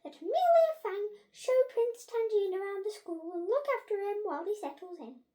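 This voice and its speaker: A woman voicing a stern, easily flustered head teacher in a children's storybook, loud and proclaiming. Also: extremely high pitch, expressive, moderate pace, pitched above the performer's own voice.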